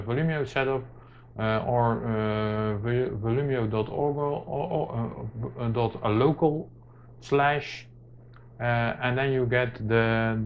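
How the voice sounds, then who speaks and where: A man gives an unscripted how-to demonstration, hesitating often with many filler sounds. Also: medium pitch. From Netherlands. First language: Dutch. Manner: hesitant, halting delivery with very frequent filler sounds. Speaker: tech tutorial presenter. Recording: dull lo-fi camera audio, clear noise.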